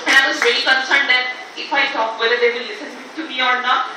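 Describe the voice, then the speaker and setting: A young woman from Bangladesh giving a talk to a live audience, quick, chatty and self-deprecating. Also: high-pitched, steady tone, bright, forceful tone, slight background noise. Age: young adult. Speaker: speaker at an event. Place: hall before a live audience. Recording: echoey hall from far off.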